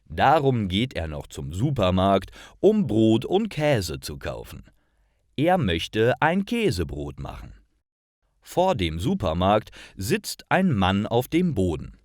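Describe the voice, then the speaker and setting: A man reading simple stories aloud in a clear, careful narration for language learners. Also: on the low side, wildly swooping pitch, slow, darkish tone, no room sound, clean studio sound. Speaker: storyteller for German learners. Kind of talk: scripted slow narration.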